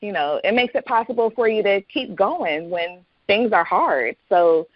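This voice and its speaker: A woman's voice: medium pitch, expressive, unhurried, no room sound, muffled recording. A woman speaking as a guest author in a conversational, reflective way. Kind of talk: reading aloud from own novel, then interview chat.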